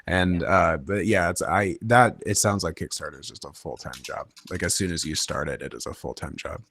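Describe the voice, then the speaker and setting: A man's voice: deep voice, normal speed, creaky, a bit bright. A man talking casually and conversationally on a panel as a creator. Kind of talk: casual anecdotal panel talk.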